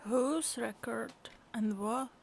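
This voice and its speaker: A calm young woman adventurer, unhurried, bit of gravel, dry n wry game voice. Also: on the high side, a little hiss or hum. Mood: low-key. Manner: understated, dryly teasing. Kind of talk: video game voice acting.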